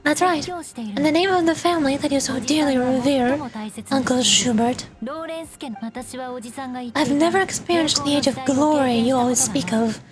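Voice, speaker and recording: Woman as a high-voiced, defiant noble lady knight. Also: moderate pace, long pauses, close to an everyday voice. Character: proud young noblewoman knight, principled and defiant.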